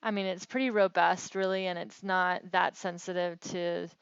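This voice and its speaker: A woman's voice: lowish voice, little pitch movement, moderate pace, creaky, smooth, no room sound. A slightly muffled, dry phone call recording carries a woman's voice with very little rise and fall. She talks casually through technical details, like a researcher walking a colleague through her work. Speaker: researcher explaining mapping metrics.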